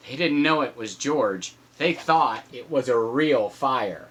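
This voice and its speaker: A man reads a children's storybook with big swings in pitch and a husky edge. He is playful and theatrical, dropping in teasing asides as a father does and acting out the action. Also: animated, slow. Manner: acts out shouts and sound effects, teasing. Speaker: father reading a picture book aloud to his daughter.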